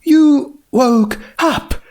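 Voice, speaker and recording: A man voicing a genteel, polite young female pony with a dainty, drawn-out delivery in a storybook reading. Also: very high-pitched, a little mellow, voice pushed up, exaggerated voice. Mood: worried, hesitant.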